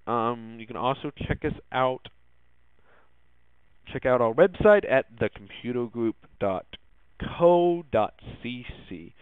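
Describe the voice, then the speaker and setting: A man hosting a podcast in a casual, hesitant way, often halting and repeating his words. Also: mid-range voice, smooth, no echo. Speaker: podcast host. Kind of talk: casual solo tech podcast talk. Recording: muffled, phone-like narrow-band audio.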